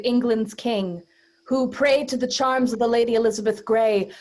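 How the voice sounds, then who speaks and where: A woman's voice: medium pitch, darkish tone, close to an everyday voice, poor audio. A woman playing a deferential royal messenger in a staged reading of verse drama, announcing in a formal, courtly way. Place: remote video call.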